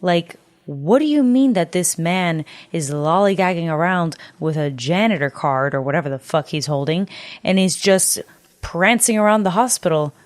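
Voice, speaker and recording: Low-voiced woman venting, exasperated. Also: animated, moderate pace, no room sound. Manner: exasperated, emphatic, slangy ranting. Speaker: podcast co-host reviewing a horror film. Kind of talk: casual, profane film discussion.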